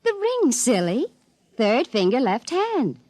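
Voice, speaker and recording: An actress playing a sweet, familiar young office secretary in a 1950s radio drama. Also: female voice, high-pitched, wildly swooping pitch, takes it slowly, darkish tone, no echo, exaggerated voice. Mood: coy, chiding. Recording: vintage radio drama broadcast.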